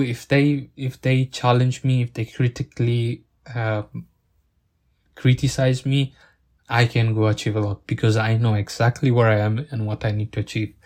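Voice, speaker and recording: A young man who is not a native English speaker gives an earnest thank-you monologue, halting and searching for words as he goes. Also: on the low side, a little mellow. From outside the UK. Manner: earnest and grateful.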